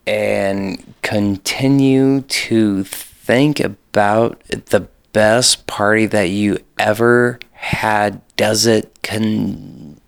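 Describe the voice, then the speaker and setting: A young man as a podcast guest, talking in a slow, halting way with lots of fillers. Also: fairly low pitch, steady tone, gravelly, no room sound, studio quality. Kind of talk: casual chat.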